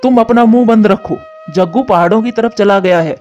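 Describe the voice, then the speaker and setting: A man's voice: sits high, a little fast, darkish tone, lightly acted. A man voicing an earnest, admonishing crow, a concerned father in a cartoon. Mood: exasperated. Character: male crow, concerned scolding father and husband. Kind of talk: Hindi cartoon voice acting. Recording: muffled narrow-band audio over music.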